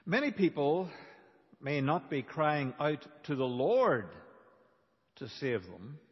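Slow-paced preacher in a reverberant church. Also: male voice, on the high side, big pitch swings, slightly rough. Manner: deliberate, emphatic, dramatic pauses and rhetorical questions. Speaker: pastor preaching. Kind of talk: sermon. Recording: dull, reverberant church hall recording.

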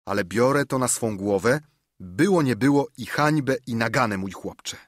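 A man's voice: medium pitch, lively intonation, normal speed, dry recording, clean studio sound, lightly acted. A man voicing a kindly, earnest middle-aged doctor who pleads urgently, in an audiobook. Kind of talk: audiobook character reading.

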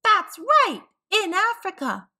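A woman's voice: very high-pitched, huge pitch swings, takes it slowly, pushed and bright, voice pushed up, heavily acted. A woman voicing an eager young girl from a picture book, with emphatic, childlike delivery. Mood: cheerful. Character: eager young girl, animal-loving nature club leader.

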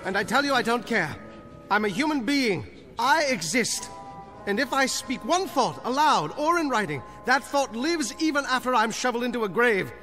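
An actor playing a bookish, principled librarian on trial in a radio drama, speaking earnestly and articulately. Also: male voice, very high voice, sing-song, normal speed, sharp tone, heavily acted. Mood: impassioned defiance. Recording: reverberant hall, music underneath.

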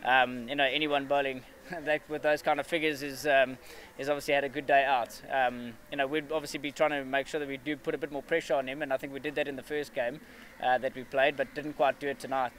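A man in his thirties answering questions after a match, measured and steady, with frequent fillers and restarts. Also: medium pitch, a little hiss or hum. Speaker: cricket player at a press conference. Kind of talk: post-match press interview. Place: outdoor press area with a backdrop.

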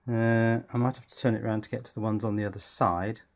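A man's voice: on the low side, steady tone, fast talker. A man hosting an electronics build, talking informally through hands-on work and thinking aloud with some hesitation. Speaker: host of an electronics project video. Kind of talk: informal hands-on workshop commentary while building. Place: indoors at a workbench.

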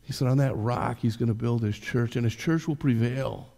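Older male pastor, conversational sermon delivery, mellow voice, reverberant church hall. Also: mid-range voice, fairly even intonation, moderate pace, gravelly. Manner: conversational preaching, direct questions to the congregation. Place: church stage.

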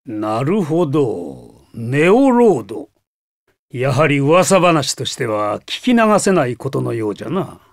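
An elderly anime game professor with old-fashioned diction. His delivery is theatrical and excitable, leaping around in pitch. The recording is close and dry. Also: slow pace, no echo. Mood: grave.

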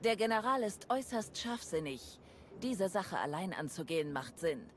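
A woman's voice: lowish voice, lively intonation, moderate pace, a little hiss or hum, close to an everyday voice. A woman playing a cool, practical adviser in a video game, speaking formally. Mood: composed. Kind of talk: German video game voice acting.

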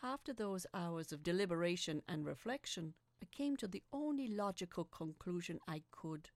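A woman reading scripted first-person fiction as a dramatic narrator, with a deliberate, suspenseful, theatrical delivery. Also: lowish voice, lively intonation, no echo.

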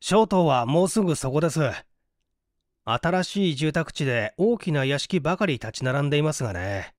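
A man's voice: on the high side, lively intonation, moderate pace, no echo, clean studio sound, natural voice. A man voicing a broke, hungry fellow in a storybook reading, coaxing and wry in half-polite talk. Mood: doubtful, grumbling.

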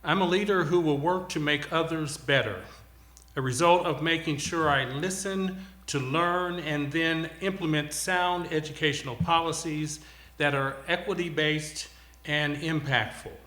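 A man's voice: on the high side, a little slow, pushed and bright. A man giving a formal, declarative campaign speech, addressing his audience directly. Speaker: school board candidate. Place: TV studio. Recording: roomy, echoing studio sound.

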